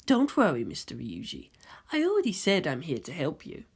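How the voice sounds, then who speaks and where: A man voicing a smooth-talking, subtly menacing partner with a polite, insinuating manner in a fan fiction reading. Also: fairly high pitch, wildly swooping pitch, moderate pace, full character voice. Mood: slyly reassuring.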